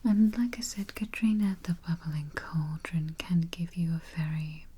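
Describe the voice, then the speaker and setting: A woman doing a soft, breathy, mostly whispered ASMR roleplay as a politely morbid vampire travel agent, murmuring with dry understatement close to the microphone. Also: lowish voice, moderate pace. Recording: faint hiss, close keyboard typing and clicks. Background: typing, clicking and gentle tapping.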